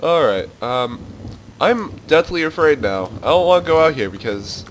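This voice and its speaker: A man's voice: a little high, lively intonation, normal speed, slight background noise. A young man hosting a gaming video, commenting nervously as he plays, swearing and laughing nervously, yelping when startled. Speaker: gaming channel host. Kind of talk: let's play commentary.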